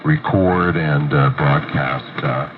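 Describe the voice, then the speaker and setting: A man's voice: rumbling low, takes it slowly. A man with a congested, muffled voice, recovering from a cold, chatting casually as a ham radio operator. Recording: tinny radio receiver with static.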